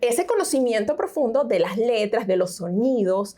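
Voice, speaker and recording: A middle-aged woman with a Venezuelan accent, a teacher giving an interview and explaining reading and pronunciation with lively examples. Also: fairly high pitch, moderate pace. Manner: explanatory, animated, frequent fillers. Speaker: teacher and tutor, interview guest. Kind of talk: conversational interview.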